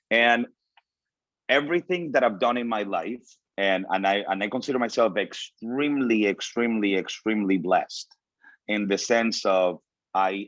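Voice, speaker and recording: A man of thirty-eight from Venezuela with a lowish voice, speaking as a guest to young people. His native language is Spanish, and he drives his points home by repeating them. The sound is close and dry but somewhat muffled. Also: fairly bright tone, no room sound. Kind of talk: informal motivational talk to students. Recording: dull, lo-fi audio.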